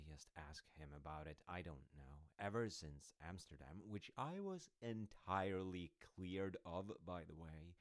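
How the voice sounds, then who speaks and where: A very low, gravelly secret agent played by a man in an intimate audio drama. He is teasing and quietly treacherous, talking in casual, halting phrases that sink toward a whisper, recorded close and dry. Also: no room sound, full character voice. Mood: wry, indignant. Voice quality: deep, creaky low voice. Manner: casual, halting, playfully insinuating, dropping into near-whispers. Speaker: audio roleplay performer. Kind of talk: intimate one-sided audio roleplay acting.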